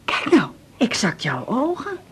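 A woman's voice: fairly high pitch, huge pitch swings, unhurried, noisy background. An actress playing a doting, inquisitive grandmother in a radio drama, cooing and chatty. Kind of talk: 1980s radio drama acting.

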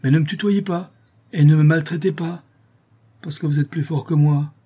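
Casting: male reader as coarse, menacing bailiff's officer for a storybook, husky voice, insolent bully who whines when overpowered. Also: mid-range voice, moderate pace, warm tone, lightly acted. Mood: whining, cowed.

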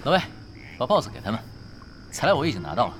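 An actor playing a cool, sardonic younger pro gamer in a Chinese audio drama, with a dry, teasing manner. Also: male voice, mid-range voice, huge pitch swings, fast talker, a bit bright, slight background noise. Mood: composed.

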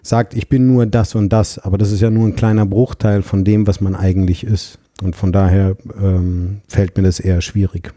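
A man's voice: low-pitched, little pitch movement, normal speed, hardly any pauses, mellow, no room sound. A man from Heidelberg, a guest painter and designer, answering hesitantly with many filler sounds and some dry humour. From Heidelberg, Germany. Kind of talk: casual interview. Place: studio.

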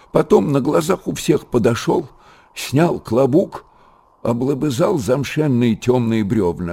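A man performing as an expressive folk storyteller, narrating a literary radio drama with a husky voice. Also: mid-range voice.

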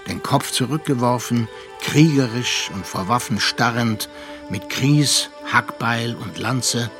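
Male actor as reflective narrator, measured, melancholy, medium pitch, literary radio play, music underneath. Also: close to an everyday voice. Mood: wistful recounting. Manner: measured, contemplative.

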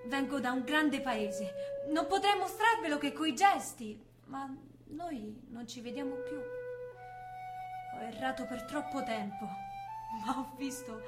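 A woman's voice: high-pitched, expressive, slow. An actress playing a young blind woman, a dreamy, plaintive exile, in theatrical stage style. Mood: wistful, dreamy. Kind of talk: theatrical stage-play acting. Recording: roomy, with prominent live music.

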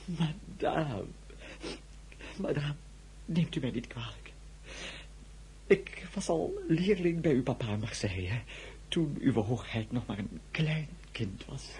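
An actor in a radio drama playing a middle-aged, deferential shop clerk, chatty and hesitant, with flustered stammers. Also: male voice, mid-range voice, lively intonation, slow, pauses often, dark tone, slight background noise. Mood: flustered, apologetic.

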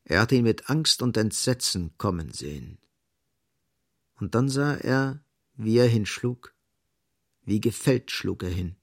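A man's voice: on the low side, expressive, measured pace, pauses often, dry recording. A man narrating a literary radio play in a studio. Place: dry, close studio.

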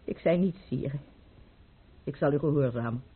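An actress playing a proud, guarded baroness at court in a radio play, formal and intense, with a breathy edge. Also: female voice, rumbling low, normal speed, full character voice. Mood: tense, guarded. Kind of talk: theatrical radio play acting. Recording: muffled, narrowband old radio play recording.

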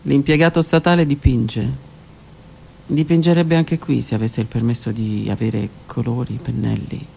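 A middle-aged woman, a stage actress, performing a dramatic monologue that swings from hushed whispers to raised declaiming. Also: very deep voice, fairly even intonation, moderate pace, creaky, slight background noise. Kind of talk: theatrical monologue. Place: radio studio.

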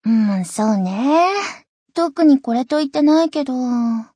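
A woman's voice: sits high, animated, a little slow, mellow, husky, no echo, clean studio sound, heavily acted. A woman voice acting a self-assured teenage big sister in an anime game, scolding and teasing yet doting. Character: bossy, teasing teenage big sister, swim club captain.

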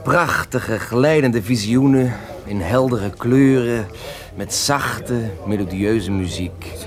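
An actor in a radio play playing a cocky, lovesick young beatnik poet who smokes marijuana, with a theatrical, mocking drawl. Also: male voice, mid-range voice, takes it slowly, close to an everyday voice. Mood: dreamy, scornful. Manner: theatrical, mocking, languid drawl with sudden outbursts.

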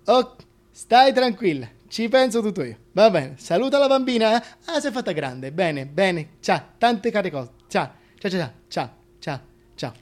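A two-faced, foul-mouthed schemer on the phone acted by a man in a comic radio play. The voice is rather high, with a volatile manner that veers between coarse and oily. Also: big pitch swings, a little slow. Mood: unctuous, agitated. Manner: volatile, switching between cursing and oily politeness.

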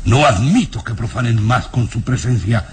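A man playing a proud, sinister nobleman and father in a radio serial, speaking in a haughty, menacing, deliberate way. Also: medium pitch, expressive, darkish tone, lightly acted. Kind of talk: Spanish-language radio serial acting.

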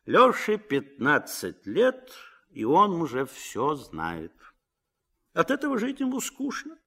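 A man narrating a literary radio drama as a gently ironic storyteller. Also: on the high side, wildly swooping pitch, takes it slowly, breathy edge, heavily acted. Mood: wry, reflective.

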